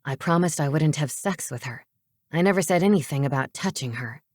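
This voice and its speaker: A woman's voice: low voice, expressive, a little fast, a little mellow, no echo, studio quality, voice pushed down. A woman voicing a controlling teenage boy in an audiobook, curt and commanding with a sarcastic edge. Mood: sharp, domineering. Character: controlling, menacing teenage boy, coolly taunting.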